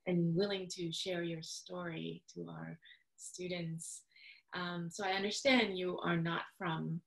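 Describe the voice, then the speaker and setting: A woman's voice: lowish voice, level tone, takes it slowly, creaky. A woman interviewing a guest in a casual, friendly way, using many fillers like um and you know. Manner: friendly, with frequent ums and you-knows. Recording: roomy echo.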